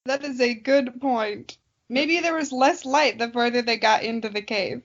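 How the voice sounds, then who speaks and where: Young woman, comedy podcast co-host. Very high voice, unhurried, teasing and giggly, slightly lo-fi sound. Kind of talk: casual comedic banter.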